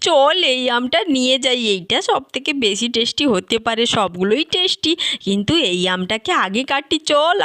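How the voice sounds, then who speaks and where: A woman's voice: on the high side, bright, forceful tone, dry recording, studio quality. A woman voicing a greedy, gleeful, bossy doll mother who gushes over her food in a children's doll story. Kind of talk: Bengali doll-play storytelling.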